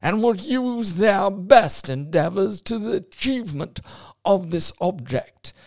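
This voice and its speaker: A pompous, plummy British official , as a podcaster puts him on, reads a formal declaration in a stately voice. He pitches it high and drags the words out slowly. The sound is close and dry, with no room around it. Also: animated, darkish tone, dry recording, heavily acted. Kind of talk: podcast monologue.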